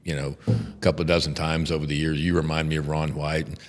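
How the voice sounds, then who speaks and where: Gravelly, deep Texan man, blunt and profane. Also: flat delivery, in a large hall. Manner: blunt, profane, halting restarts. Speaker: podcast host, defense attorney. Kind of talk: casual podcast chat.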